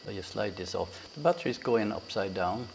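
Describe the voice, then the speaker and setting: A man with an Irish accent, hosting a casual product review on a lavalier mic, talking in a halting way with many fillers and restarts. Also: lowish voice, animated, vocal fry, a little hiss or hum. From Ireland. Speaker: tech reviewer, channel host. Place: indoors in a room with a fan, then outdoors by a busy road.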